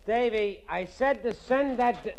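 An American actor playing a tough, wary racketeer boss in a 1940s radio crime drama, talking in clipped gangster slang. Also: male voice, sits high, unhurried, darkish tone, a little hiss or hum. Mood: curt. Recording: muffled old 1940s radio recording.

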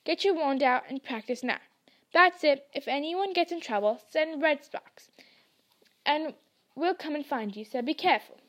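A woman voicing a huge, gruff, kindly male gamekeeper who talks bluntly. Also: sits high, moderate pace, fairly bright tone.

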